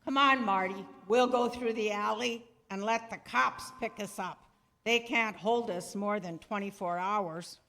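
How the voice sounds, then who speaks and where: A woman's voice: mid-range voice, unhurried, pushed and bright. A woman playing a tough, bossy male gangster with blustering, slangy talk in a 1930s-style radio drama. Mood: alarmed. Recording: reverberant hall stage recording.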